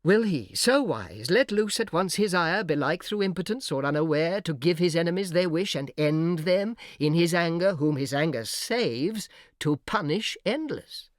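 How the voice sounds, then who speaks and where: A man playing a smooth, persuasive fallen angel who argues in measured rhetorical questions, in a dramatic reading of epic verse. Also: a little high, animated, no echo, studio quality, close to an everyday voice. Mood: urgent, rhetorical. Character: smooth, persuasive fallen angel, graceful false-hearted orator.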